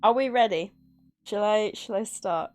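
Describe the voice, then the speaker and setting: A woman's voice: mid-range voice, a little slow, a little mellow, soft background music. A woman hosting a livestream, chatting casually in a nervous, giggly way. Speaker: livestream host. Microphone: streaming microphone at a desk.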